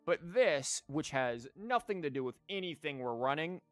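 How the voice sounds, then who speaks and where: A man's voice: on the high side, big pitch swings, normal speed, no echo, soft background music. A young man hosting a gaming livestream, chatting casually and rambling with plenty of fillers as he wraps up. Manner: rambling, lots of fillers and restarts. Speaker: livestream host. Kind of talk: casual gaming stream wrap-up.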